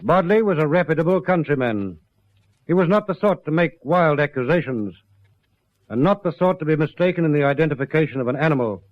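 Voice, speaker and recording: A man narrating police case files for a radio drama in a formal, sober, measured scripted style. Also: fairly high pitch, animated, no room sound, close to an everyday voice. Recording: dull lo-fi radio recording.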